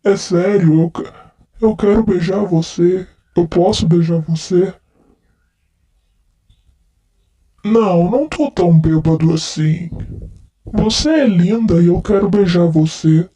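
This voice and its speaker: A man's voice: sits high, unhurried, warm tone. A man playing a drunk, heartbroken man who keeps begging a female friend to sit with him and let him kiss him, in a repetitive, pleading first-person roleplay.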